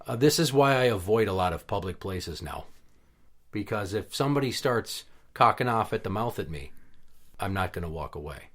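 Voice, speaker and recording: A man's voice: lowish voice, animated, normal speed, fairly bright tone. A man hosting a podcast in casual chat, talking bluntly and heatedly with plenty of swearing. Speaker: podcast host.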